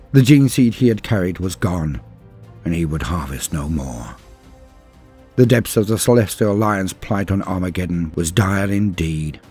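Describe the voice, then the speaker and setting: A man delivering dramatic scripted lore narration in a solemn, grave and measured storytelling manner. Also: low voice, darkish tone, husky. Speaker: narrator and host of a lore channel.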